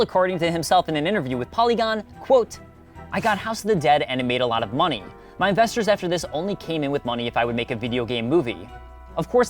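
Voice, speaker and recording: Fast, animated male video essay narrator. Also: fairly high pitch. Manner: wry, conversational asides. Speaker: host of a video essay series.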